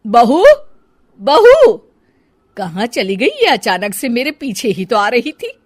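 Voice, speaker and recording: A woman's voice: fairly high pitch, wildly swooping pitch, takes it slowly, heavily acted. A woman playing a bossy, suspicious middle-aged mother-in-law in a family story, scolding and firing exclamatory questions. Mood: alarmed. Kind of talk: dramatized Hindi family storybook acting.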